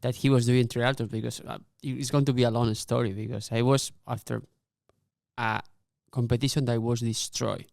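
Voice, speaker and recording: Clear-voiced man, halting and animated. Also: mid-range voice, dry recording, studio quality. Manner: animated, halting with repeated restarts. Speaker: guest, insurance executive. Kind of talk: casual interview answers.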